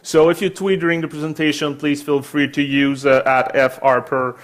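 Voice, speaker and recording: A man's voice: a little high, little pitch movement, normal speed. A man with a French accent in his thirties, giving a chatty, joking conference talk in a hall, with many fillers. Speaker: conference speaker.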